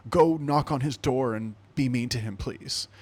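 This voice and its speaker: A man's voice: mid-range voice, unhurried, voice pushed up, lightly acted. A man mimicking a petty, whiny male complainer in a fussy, mock-polite tone.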